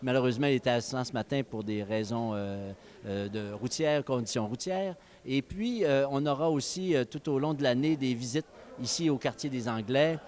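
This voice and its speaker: A man's voice: mid-range voice, normal speed, a little mellow. Hesitant Québécois man making an announcement. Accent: Québécois French. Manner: hesitant, many fillers. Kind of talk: press announcement.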